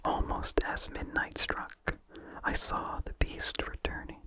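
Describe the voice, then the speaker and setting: A narrator reading vintage horror fiction aloud in a breathy, unvoiced ASMR whisper, with slow, deliberate, measured phrasing. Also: pushed and bright.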